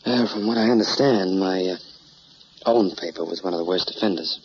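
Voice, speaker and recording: Low-voiced, chivalrous reporter. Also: male voice, brisk. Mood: courteous. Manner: polite, hesitant, protective. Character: chivalrous, plain-spoken male newspaper reporter. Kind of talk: mid-century American crime drama acting. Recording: muffled, noisy old drama soundtrack.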